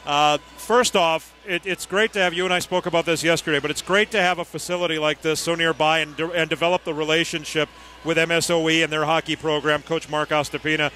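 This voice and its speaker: A man's voice: on the high side, fairly even intonation, fairly quick, pushed and bright, a little hiss or hum. A man hosting a live sports radio broadcast interview from a hockey arena. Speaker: radio play-by-play host.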